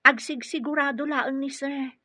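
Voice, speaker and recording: A young nurse in an Ilocano radio drama. Her voice is very high and bright, recorded dry. She is courteous and deferential toward those above her. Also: steady tone, normal speed, no room sound. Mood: polite, evasive. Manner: respectful, addressing superiors as sir. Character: young female nurse caregiver, polite and deferential to her employer.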